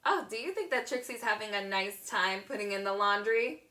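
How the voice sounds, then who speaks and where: A woman reading a picture book aloud to young children in an expressive teacher's manner, drawing words out. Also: medium pitch, normal speed. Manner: expressive, asks the listener questions, gasps, draws words out.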